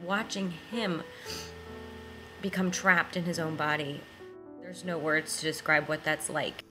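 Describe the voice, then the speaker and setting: A woman's voice: low-pitched, steady tone, unhurried, over music. A woman in her twenties, speaking emotionally and emphatically in a documentary interview. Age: 20s.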